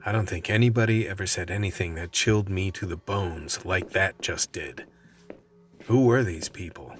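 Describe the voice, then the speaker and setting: A man's voice: deep voice, moderate pace, soft background music, natural voice. A man playing a world-weary, tense vice cop who narrates a radio play in a hard-boiled manner. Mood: tense, brooding.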